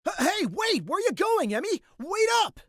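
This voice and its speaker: A man's voice: very high voice, sing-song, moderate pace, fairly bright tone, a little hiss or hum, voice pushed up, full character voice. A man voicing an ordinary young man who calls out in a stammering, alarmed way. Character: panicky young boyfriend, an ordinary man calling out.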